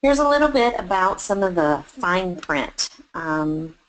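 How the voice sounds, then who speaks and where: A woman's voice: on the low side, very animated, measured pace, rough recording. A woman with a Texan accent, a chef hosting a webinar and talking her listeners through it in a chatty, encouraging way with plenty of fillers. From Texas. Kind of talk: webinar presentation.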